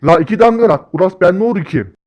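Casting: male actor as excitable, bossy big-brother type for comic cartoon, brash and scolding, fairly high voice. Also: quick pace, highs cut off. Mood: annoyed, scolding.

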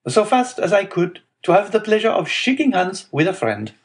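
A man's voice: sits high, big pitch swings, normal speed, pitched above the performer's own voice. A man voicing a sly, greedy tailor in his twenties in a novel, over-friendly and effusive. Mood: chatty.

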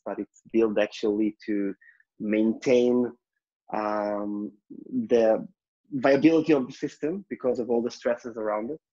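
Casting: male interview guest, Israeli accent, mid-pitched, reflective and halting, on a slightly lo-fi recording. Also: mellow. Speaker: podcast guest, health entrepreneur and speaker. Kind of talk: conversational interview answers. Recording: slightly dull lo-fi sound.

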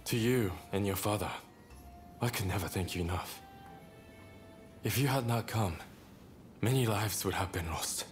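An actor playing a courteous wounded samurai in a video game, speaking in an earnest, polite, measured manner. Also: male voice, fairly low pitch, lightly acted. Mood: grateful. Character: grateful, courteous wounded samurai activist recovering. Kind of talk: dramatic video game cutscene acting. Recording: game dialogue over clear background music.

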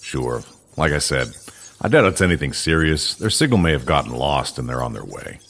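An actor playing a commanding, guarded alien council official in a sci-fi audio drama, with a gravelly, husky voice and questioning manner. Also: male voice, a bass voice, very animated, moderate pace, a bit bright, exaggerated voice. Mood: reassuring, cautious. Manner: authoritative, questioning.

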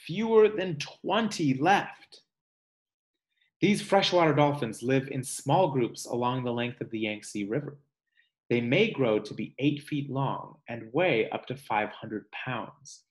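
A man in his thirties with a mid-range voice speaks as a teacher to young pupils. He phrases things in a measured, clear way, as in a picture book read-aloud. He is recorded in a room at home on a webcam. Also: medium pitch. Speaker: teacher reading aloud to third-grade class.

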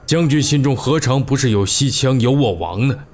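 An actor playing a shrewd royal minister in a historical audio drama, formal, deferential and persuasive. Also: male voice, mid-range voice, animated, moderate pace, a little mellow, slight background noise, lightly acted. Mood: smooth, composed. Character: shrewd, measured male royal chief minister and strategist.

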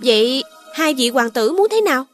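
High-voiced proud young princess. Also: female voice, lively intonation, normal speed, faint music behind. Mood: cool, shrewd. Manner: formal, courtly, assertive. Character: proud, shrewd young princess, skilled in arms. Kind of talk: Vietnamese animated fairy tale voice acting.

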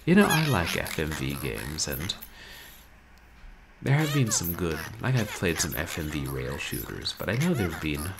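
A man in his thirties, a game streamer chatting casually at home in a wry, rambling, animated way. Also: rumbling low, moderate pace, vocal fry, background noise. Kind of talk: casual livestream chat. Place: small room at home, indoors.

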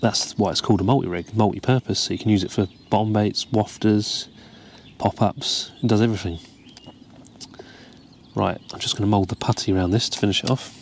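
A man, calmly explaining step by step how to tie a fishing rig, recorded outdoors. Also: fairly low pitch, fairly quick, vocal fry, slight background noise. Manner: relaxed, explains each step while tying. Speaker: carp fishing angler demonstrating a rig. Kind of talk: casual step-by-step tutorial. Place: outdoors by the water, seated over a tackle box.

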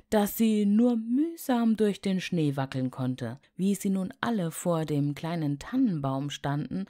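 A woman reading a scripted fairy tale aloud as a storyteller, in an expressive, measured way. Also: lowish voice, no echo, clean studio sound.

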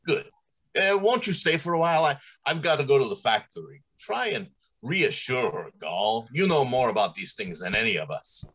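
A man plays a middle-aged factory director in an old-time radio drama. He is confident and dismissive, with a husky voice and very lively intonation. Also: medium pitch, normal speed, rough recording. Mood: brisk. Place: small room at home, on a video call.

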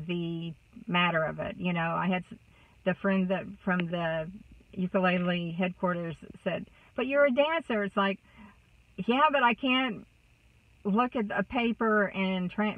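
A woman's voice: mid-range voice, no echo, distant mic. Texan woman, about 60, rambling car vlog chat, thin phone-like dry sound. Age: 60s. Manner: chatty, warm, rambling with many fillers. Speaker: vlogger. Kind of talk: casual chat to camera. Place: inside a parked car. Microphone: phone camera close up.

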